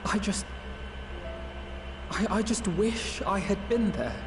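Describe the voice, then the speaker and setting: An actor playing an earnest, idealistic young man in a video game, gentle but resolute. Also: high-pitched, very animated, slow pace, background noise, exaggerated voice. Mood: grateful, regretful. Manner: earnest, hesitant when troubled. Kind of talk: dramatic video game voice acting.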